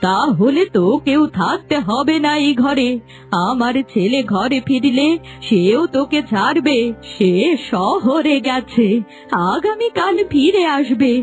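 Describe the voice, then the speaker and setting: Cruel older village matriarch for a cartoon woman acting: scolding, scornful, high-pitched, drawn-out delivery over music. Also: expressive. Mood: threatening. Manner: harsh, scornful, commanding. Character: stern older village mother-in-law, ashamed, cruel and scolding. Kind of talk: scripted Bengali fairy-tale cartoon dialogue.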